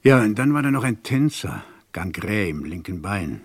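Husky low-voiced doctor, swooping intonation. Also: male voice, big pitch swings, moderate pace, dry recording. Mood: expansive, grave. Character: opinionated male hospital doctor recalling failed operations. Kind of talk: German crime radio play acting.

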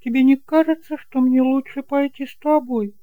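A man's voice: very high voice, steady tone, moderate pace, clear, resonant tone, no echo, narrow, tinny audio, voice pushed up. A man voicing a blunt young bride in an audiobook drama, with a pert, direct manner. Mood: pert, questioning. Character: young bride, blunt, easily amused wife.

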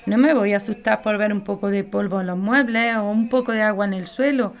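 A woman's voice: medium pitch, steady tone, a little fast, lightly acted. A woman with an accent from Spain playing a caring, insistent older mother in a radio soap, coaxing and matter-of-fact. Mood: fond, matter-of-fact. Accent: Spanish from Spain.

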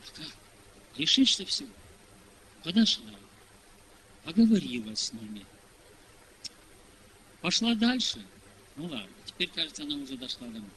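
A man's voice: on the high side, big pitch swings, normal speed, pauses often, pushed and bright. A man preaching in a conversational storytelling style, asking rhetorical questions and acting out bits of dialogue, on a hissy recording. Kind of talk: sermon told through anecdotes and dialogue. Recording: hissy, noisy amateur audio recording.

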